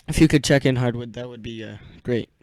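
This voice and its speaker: A young man hosts a casual ham radio net. He talks haltingly and gets easily sidetracked. The sound is close and dry, with little room to it. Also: medium pitch, a little mellow, dry recording. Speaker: host of an amateur radio club net.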